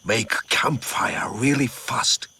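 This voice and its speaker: A man voicing an ancient ninja master who narrates a game's story in a theatrical storybook manner, wise, fond and wistful, with measured dramatic pauses. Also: lowish voice, lively intonation, creaky, sharp tone, slight background noise, exaggerated voice. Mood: wise, wry. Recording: music behind the voice. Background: music.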